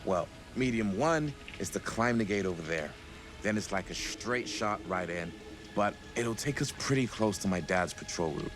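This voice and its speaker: A man's voice: lowish voice, animated, normal speed, lightly acted. An actor playing an easygoing young man in naturalistic game dialogue, guiding his friends. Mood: matter-of-fact. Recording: loud music behind the voice.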